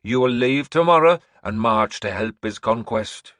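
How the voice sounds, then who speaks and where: A man voicing a sinister old woman who rules from a throne, slow, hissing and imperious. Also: mid-range voice, lively intonation, a bit bright, no room sound, clean studio sound, pitched above the performer's own voice. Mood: commanding.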